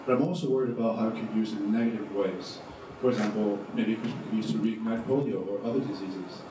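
A young man stands in the audience and puts a question to the panel, hesitant and halting, with many fillers. His voice sits on the low side and sounds far from the mic. The hall around him is roomy and noisy. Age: young adult. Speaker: student audience member asking a question. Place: large lecture hall, audience. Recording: roomy hall, distant mic, room noise.

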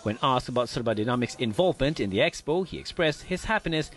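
A man's voice: medium pitch, fast talker, slight background noise. Formal male news presenter. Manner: even, formal reading. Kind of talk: scripted news narration. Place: news studio.

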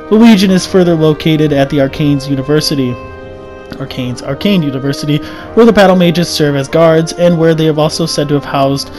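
A man's voice: a little high, darkish tone. A young man with a sick, scratchy throat, reading a game lore script aloud and often stumbling over words. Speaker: lore video narrator. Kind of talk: read-aloud lore narration over gameplay.

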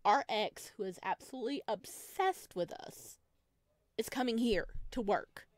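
A woman's voice: on the high side, expressive, unhurried, no echo. A woman streamer reading a visual novel aloud expressively and dramatically, adding her own commentary, close to the microphone.

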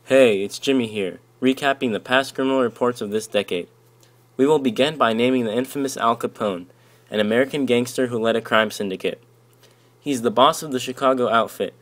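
A man hosting and reporting in a scripted radio read. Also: medium pitch. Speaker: radio host and crime reporter.

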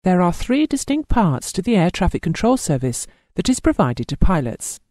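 A training course narrator reading scripted instructional material in a formal, measured way, enunciating lists carefully. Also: medium pitch, sing-song, mellow, no room sound, studio quality.

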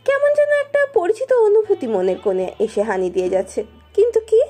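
A woman's voice: high voice, wildly swooping pitch, a little fast. A woman narrating a romance story expressively from a script. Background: soft music.

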